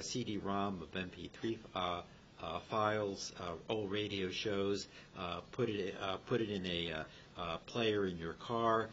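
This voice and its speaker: An older man in an informal group discussion, speaking in a halting, wordy, repetitive way. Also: fairly bright tone. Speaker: club member. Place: club meeting room. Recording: muffled recording with noisy rumble.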